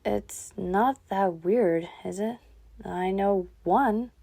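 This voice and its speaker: A woman's voice: medium pitch, drawn-out words, creaky, warm tone, exaggerated voice. A woman playing a young fox Pokemon in a comic dub, a sceptical, easily flustered trainee explorer with a dry, questioning manner. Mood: sceptical, puzzled. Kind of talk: animated comic dub acting.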